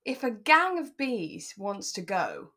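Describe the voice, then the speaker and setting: A British woman co-hosting a relaxed chat podcast, giggly and self-mocking as she tells things in an animated way. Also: mid-range voice, takes it slowly. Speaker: podcast co-host. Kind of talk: relaxed chat about a radio drama.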